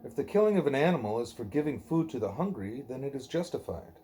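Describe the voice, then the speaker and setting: A man reading a devotional dialogue aloud in measured, deliberate phrases. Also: mid-range voice, big pitch swings, warm tone, slight background noise. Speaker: reader of a devotional book; parent reading aloud at a child's bedtime.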